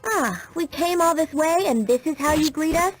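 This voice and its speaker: A woman's voice: high voice, lively intonation, moderate pace. A woman voicing a spirited, cheeky young female Jedi apprentice in a video game cutscene. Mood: cheeky taunt. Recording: loud music underneath.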